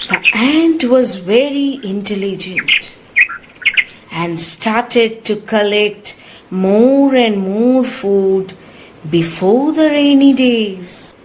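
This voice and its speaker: A woman narrating a scripted story for young children, slowly and simply, as a storyteller. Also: on the high side, animated. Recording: loud noise of birds and crickets behind.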